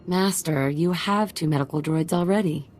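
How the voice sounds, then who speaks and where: An actress playing an anxious young servant in a video game, deferential, guarded and easily flustered. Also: female voice, low voice, moderate pace, darkish tone, faint music behind. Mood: distressed.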